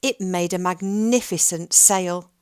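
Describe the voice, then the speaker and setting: A woman reading a children's picture book aloud in a gentle, expressive storytelling manner. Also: on the low side, a little slow, smooth, no echo, clean studio sound.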